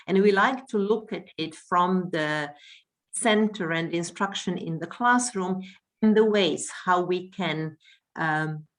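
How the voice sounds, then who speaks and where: A woman who teaches world languages and coordinates home languages, giving a workshop presentation with frequent pauses and restarts. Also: lowish voice, a bit bright. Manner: hesitant, many fillers and restarts while explaining.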